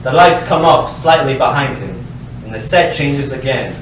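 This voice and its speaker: A man reading stage directions as scripted narration, deliberate and dry in delivery. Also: mid-range voice, animated. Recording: very echoey hall, distant mic, faint noise.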